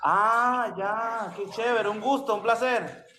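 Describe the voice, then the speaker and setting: A man's voice: sits high, slow pace, bright, forceful tone, soft background music. A man with a Venezuelan accent chatting casually as he hosts and asks questions. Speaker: host, creative dog groomer. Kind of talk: casual chat. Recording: echoey room with people heard around.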